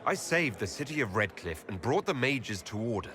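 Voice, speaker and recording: An actor playing a steady, plain-spoken leader in a fantasy video game, composed and direct. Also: male voice, mid-range voice, normal speed. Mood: firm. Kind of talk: video game dialogue acting.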